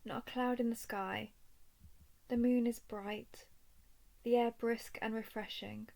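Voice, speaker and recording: Woman acting as creaky-voiced female dragon scout. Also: fairly high pitch, fairly even intonation, takes it slowly, darkish tone. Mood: calm, watchful. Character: watchful female dragon-clan scout, confident and teasing captor. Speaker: voice performer. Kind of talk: scripted roleplay audio drama monologue.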